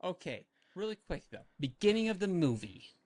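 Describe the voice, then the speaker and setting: A woman's voice: fairly low pitch, big pitch swings, moderate pace, dark tone, no room sound. A young woman co-hosting a casual podcast, teasing her friends and laughing often. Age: young adult. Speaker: podcast co-host. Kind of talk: casual chat.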